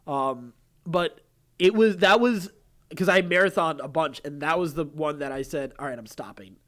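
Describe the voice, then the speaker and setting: Man, high voice, podcast co-host, casual discussion, earnest rambling delivery. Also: moderate pace, a bit bright. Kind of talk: casual pop-culture discussion.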